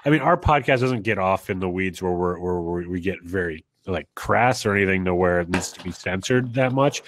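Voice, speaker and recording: A man with a nasal voice, a podcast guest talking in a relaxed, rambling way. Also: sits low, dry recording. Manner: relaxed, rambling, laughs lightly. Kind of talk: casual interview chat.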